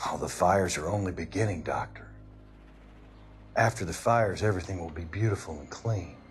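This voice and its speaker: Deep-voiced deranged arsonist acted by a man. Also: music in the background, lightly acted. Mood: rapt menace. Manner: slow, ominous, rapt. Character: deranged, serene male arsonist with messianic zeal. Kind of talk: cinematic crime game voice acting.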